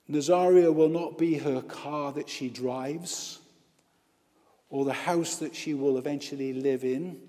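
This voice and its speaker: A male priest and hospital chaplain preaching a baptism sermon, slow and emphatic, with repeated lists. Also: fairly high pitch, mellow. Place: church with long reverb. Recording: reverberant church hall.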